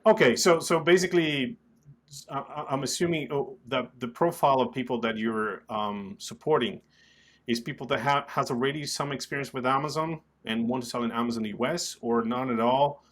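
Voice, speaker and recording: A man's voice: medium pitch, moderate pace, a bit bright. A man hosting a podcast, introducing the show and putting questions to a guest. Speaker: podcast host. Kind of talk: scripted intro and interview questions.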